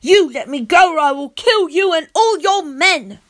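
A woman doing a shrill, furious young wizard boy. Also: expressive, unhurried, heavily acted. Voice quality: shrill, shouting. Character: brash angry young wizard schoolboy.

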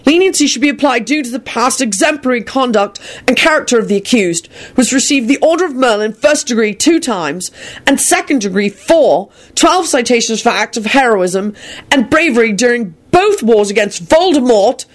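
Woman doing determined young guy arguing in court for a story, emphatic, raised pitch, earnest. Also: expressive, moderate pace, close to an everyday voice. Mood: vehement. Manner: emphatic, vehement.

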